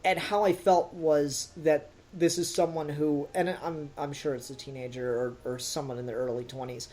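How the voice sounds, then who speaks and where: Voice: mid-range voice, steady tone, moderate pace, darkish tone. Blunt, emphatic podcast critic. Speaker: podcast co-host reviewing an audio drama. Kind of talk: casual critical discussion.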